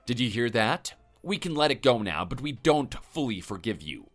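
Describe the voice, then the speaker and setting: A man's voice: mid-range voice, very animated, moderate pace, faint music behind. A man playing a stern, menacing husband. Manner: threatening, lecturing. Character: stern, menacing husband defending his wife.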